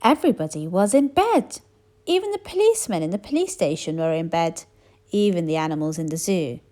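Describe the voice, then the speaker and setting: Very animated woman reading a children's story. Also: fairly low pitch, fairly quick. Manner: animated, dramatic emphasis on repeated phrases. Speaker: storybook reader. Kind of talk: expressive children's story read-aloud.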